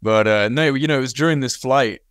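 A man in his thirties hosting a podcast interview over a video call, relaxed and conversational as he leads the chat. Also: medium pitch, fairly quick, no echo, studio quality. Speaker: podcast host. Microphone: video call webcam.

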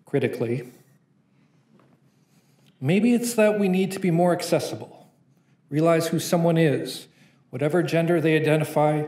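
A young man reads his spoken-word poem aloud from notes at a podium mic. His voice sits slightly high, and his delivery is rhythmic and playful. The conference room around him is echoey. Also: darkish tone. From Canada. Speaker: poet.

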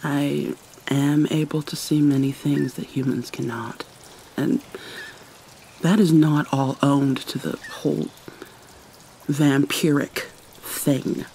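Slow, hushed vampire mermaid, acted by a woman. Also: low-pitched, fairly even intonation, slight background noise, exaggerated voice, rough recording. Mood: guarded, explaining. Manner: hesitant, halting, hushed, often breathy. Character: guarded, wounded vampire mermaid, sea god's daughter. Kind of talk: ASMR roleplay monologue. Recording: rain and water sounds behind. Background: rain and pouring water.

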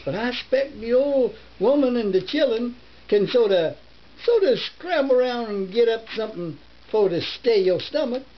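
A man's voice: very high voice, lively intonation, normal speed, pitched above the performer's own voice. An older man voicing a sassy, wily rabbit from a storybook folk tale in a put-on American Southern dialect. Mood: slyly hospitable. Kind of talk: storybook reading. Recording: muffled home camera recording with hiss.

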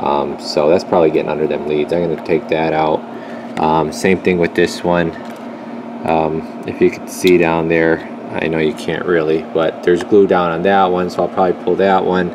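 A low-voiced man talks through a repair job at the bench in a loose, casual way. He thinks aloud in shop slang from behind a handheld camera. A clear hum of room noise sits behind him. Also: flat delivery, normal speed. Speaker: radio repair technician presenting his work. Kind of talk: casual hands-on demonstration. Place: indoor electronics workshop. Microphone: handheld camera, speaker behind it.

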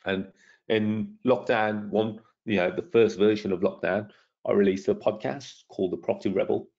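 A middle-aged man with a croaky, gravelly voice, presenting a property investment webinar in a persuasive, self-promoting way and putting questions to the audience. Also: on the low side, darkish tone. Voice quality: gravelly, husky. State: croaky from lingering illness. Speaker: property trainer hosting a webinar. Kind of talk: webinar presentation over slides. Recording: dull lo-fi webinar audio.

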